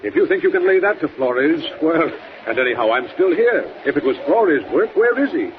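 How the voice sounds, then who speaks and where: A man's voice: a little high, sing-song, brisk. A man playing a blustery, self-assured governor in 1940s radio acting style, on a tinny, hissy old recording. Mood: dismissive, hearty.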